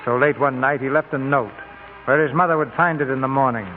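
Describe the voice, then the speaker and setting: A man's voice: medium pitch, a little fast, close to an everyday voice. A man narrating a 1940s radio drama in a formal, solemn, dramatic manner. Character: solemn story narrator introducing an act. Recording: tinny old radio with music.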